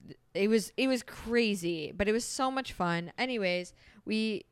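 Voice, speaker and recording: A woman's voice: mid-range voice, moderate pace, clear, resonant tone, no echo. Profane storytelling woman podcaster. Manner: profane, animated storyteller who acts out quotes. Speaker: podcast co-host. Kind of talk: casual chat, storytelling.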